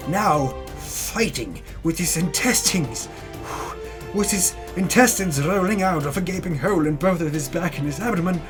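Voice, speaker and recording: A man's voice: high voice, normal speed. A man giving a dramatic, mock-epic narration of a fantasy campaign recap, grandiose in delivery with dry, tongue-in-cheek asides. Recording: music under the voice. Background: background music.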